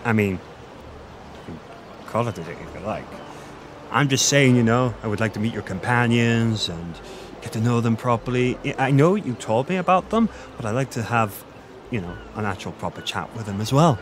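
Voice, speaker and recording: A man's voice: medium pitch, animated, moderate pace, close to an everyday voice. A man playing a chatty Irish innkeeper, ambient noise behind. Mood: friendly, flirty. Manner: chatty, informal, confiding. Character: friendly, flirty male Irish innkeeper in a fantasy village. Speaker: voice actor in audio roleplay. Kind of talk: conversational romantic audio roleplay acting. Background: village ambience with distant chickens.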